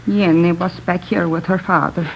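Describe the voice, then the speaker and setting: A woman playing a shaken, weary middle-aged Swedish immigrant mother in a 1950s radio drama, speaking halting, polite English with a Swedish accent. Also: on the low side, fairly even intonation, vocal fry. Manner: halting, simple non-native phrasing. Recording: muffled old noisy radio recording.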